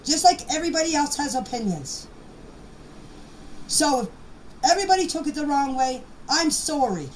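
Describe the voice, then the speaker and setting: A middle-aged or older woman giving an informal personal account in a halting, plain-spoken, insistent manner. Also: sits high, big pitch swings, low-quality recording. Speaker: private person giving her account in voice clips. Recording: amateur voice clips with background noise.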